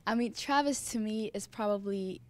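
A young woman from Norway, a dancer whose first language is Norwegian, gushing excitedly in an interview into a handheld mic in an indoor lounge. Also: medium pitch, moderate pace, no room sound. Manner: excited, gushing, laughing often. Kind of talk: interview answers. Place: indoor lounge at a rehearsal studio. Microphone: handheld mic held by interviewer.

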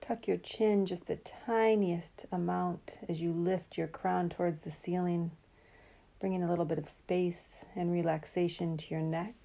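A woman guiding a relaxation meditation in a calm, soothing, unhurried way, leaving long pauses between phrases. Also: on the low side, vocal fry. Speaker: meditation guide.

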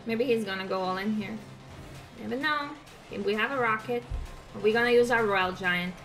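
Bright young Croatian woman, chatty gamer. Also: a little high, normal speed, faint music behind. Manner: upbeat, chatty, hums along while playing. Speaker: gaming streamer. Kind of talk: casual gameplay commentary.

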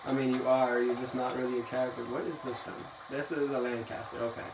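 A man's voice: mid-range voice, little pitch movement, quick pace. A young man hosting a gaming video, chatting casually and rambling, playfully bossy about the plan. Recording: echoey room, mic far, noisy.

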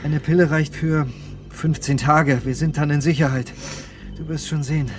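A man's voice: fairly high pitch, steady tone, moderate pace, soft background music, lightly acted. An actor playing a pragmatic, reassuring man of science aboard a spaceship in a radio drama. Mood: calm, reassuring. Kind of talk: German radio drama acting.

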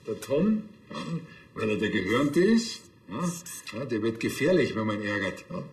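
A man's voice: lowish voice, big pitch swings, measured pace, reverberant. An actor playing a grumbling, sardonic policeman in a TV crime comedy, with a Bavarian accent. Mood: explaining. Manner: dry, sardonic, colloquial.

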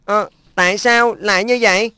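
Woman doing a bright earnest cartoon big bro, coaxing, dry studio. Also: mid-range voice, steady tone, normal speed, clean tone, no room sound, natural voice. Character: caring older brother boy, earnest. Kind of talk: cartoon dub.

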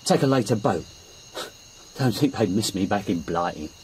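An actor playing a humble Cockney stable lad and former jockey in a radio drama, plain-spoken and deferential. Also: male voice, on the low side, lively intonation, measured pace, a little mellow, slight background noise. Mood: hesitant, honest.

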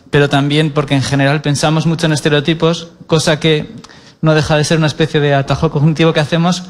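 Hesitant man, flat and slightly high. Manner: hesitant, many fillers, frequent tag questions. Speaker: writer on a panel. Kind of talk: panel discussion. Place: stage of a cultural centre auditorium. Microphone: handheld mic.

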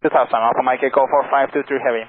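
Quick male pilot on thin, narrow radio. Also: medium pitch, little pitch movement. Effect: radio transmission. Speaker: airline pilot. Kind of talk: radio readbacks. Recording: phone-like cockpit radio, faint noise.

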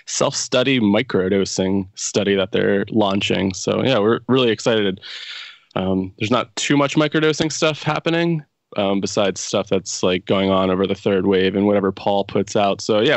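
A man's voice: fairly low pitch, no echo. A man hosting a podcast, introducing the episode and guests in a casual, upbeat way with many fillers. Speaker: podcast host.

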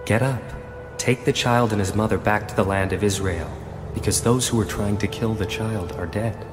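A man's voice: fairly low pitch, normal speed, natural voice. A man voicing a solemn, commanding angel in a dramatized audio Bible. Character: calm, commanding angel giving instructions. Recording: long reverb, prominent music behind.

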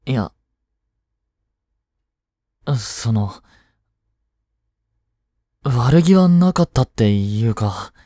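Teen schoolboy boyfriend, played by a young man: teasing yet tender, unhurried, lively intonation, intimate voice drama. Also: mid-range voice, no echo, studio quality. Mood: apologetic. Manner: casual, soft, hesitant pauses. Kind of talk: Japanese boyfriend voice drama, intimate one-sided dialogue.

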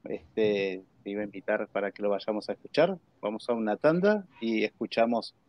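A middle-aged man with an Argentine accent, hosting a casual interview in a halting, checking way. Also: fairly low pitch, a little mellow. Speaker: host. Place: indoor room, on a video call. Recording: dry, slightly dull streamed audio.

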